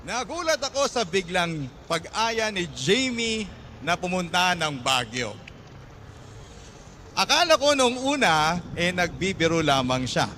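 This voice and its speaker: An earnest man looks back on his own love story in reflective narration. His pitch sits fairly high and moves in a lively, animated way. He is a radio drama narrator telling it from the heart. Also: measured pace, long pauses, slight background noise, heavily acted.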